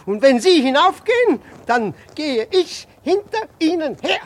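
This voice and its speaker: An actor playing a pompous, timid older cuckolded husband in a radio play, stammering and repeating himself in indignant, formal with a husky voice. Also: male voice, very high-pitched, big pitch swings, measured pace, heavily acted. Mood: blustering indignation. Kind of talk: theatrical German radio play acting.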